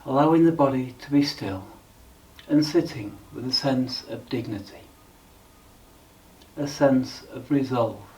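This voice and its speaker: A man leading a guided meditation in a calm, measured, instructional way with frequent pauses. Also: mid-range voice, recorded from a distance, low-quality recording.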